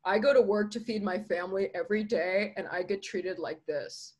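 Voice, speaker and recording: A woman's voice: mid-range voice, moderate pace, a little mellow, breathy edge, close to an everyday voice. Woman reading as a weary, indignant zoo worker.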